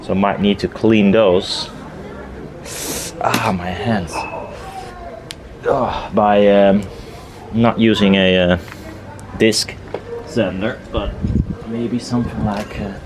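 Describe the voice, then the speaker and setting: Low-voiced man muttering over noisy workshop chat. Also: fairly even intonation, slow pace, long pauses. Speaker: DIY maker filming a build video. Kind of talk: casual workshop chat, thinking aloud while working. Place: outdoors in a garden. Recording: noisy outdoor garden recording.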